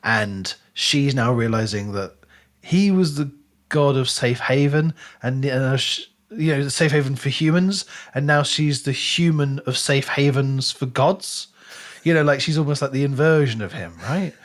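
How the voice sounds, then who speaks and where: A man's voice: mid-range voice, a little slow. A man co-hosting a casual book discussion podcast, speaking in an emphatic, analytical way. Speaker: podcast host.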